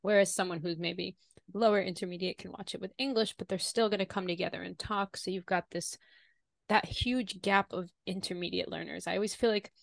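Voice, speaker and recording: A woman's voice: lowish voice, fairly even intonation, a little fast, vocal fry, no room sound. A woman hosting a podcast interview in a casual, conversational way, rephrasing and elaborating as she goes.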